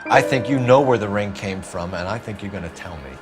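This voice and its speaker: An actor playing a stern young 1940s police detective, formal and probing, in a video game. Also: male voice, lowish voice, brisk, music in the background, natural voice. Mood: pressing, accusing. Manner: formal, probing, businesslike questioning.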